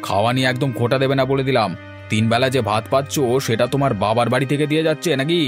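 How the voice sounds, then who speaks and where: A man playing a grumpy, stingy village husband in a fairy-tale cartoon, gruff, dismissive and argumentative. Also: mid-range voice, racing, faint music behind, natural voice. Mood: irritated.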